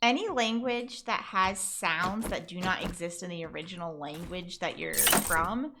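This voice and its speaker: A woman's voice: on the low side, unhurried, vocal fry. A woman streaming a survival game, chatting casually and rambling with plenty of fillers. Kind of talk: casual chat while gaming. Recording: loud background noise with clicks.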